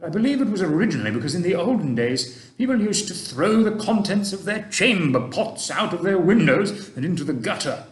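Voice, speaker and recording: A man putting on a kindly old gentleman tramp. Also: sits high, big pitch swings, brisk, few pauses, echoey room, pitched above the performer's own voice. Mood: fussy, genteel. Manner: old-fashioned, polite, fussy.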